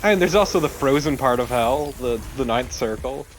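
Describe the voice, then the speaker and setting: A man's voice: mid-range voice, expressive, normal speed, slight background noise, rough recording. A man co-commentating on a video game in a casual, wry, joking way. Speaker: let's play co-commentator. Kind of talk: casual gameplay commentary chat. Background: video game music.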